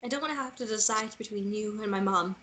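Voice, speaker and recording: A woman's voice: medium pitch, fast talker, a bit bright, close to an everyday voice. A woman acting a torn, fearful young woman in a fantasy romance table read, with emotional, wistful delivery. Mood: distraught.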